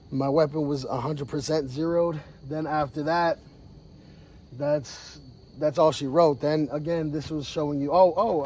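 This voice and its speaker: A man who is sick and hoarse, talking casually and bluntly to camera outdoors at a shooting range about training. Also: a little high, warm tone. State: sick, losing his voice. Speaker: firearms training vlogger.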